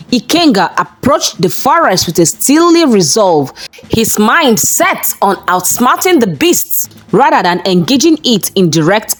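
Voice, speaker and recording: A woman storyteller reading a scripted folktale in a measured, dramatic manner. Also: mid-range voice, rough recording. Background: background music.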